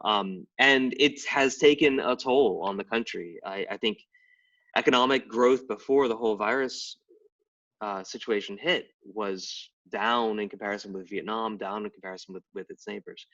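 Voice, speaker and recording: A man's voice: lowish voice, fairly bright tone. A middle-aged man, a game writer and anthropologist, explaining at length in a casual interview with many fillers and restarts. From Tidewater, Virginia. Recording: distant, dull lo-fi live stream audio.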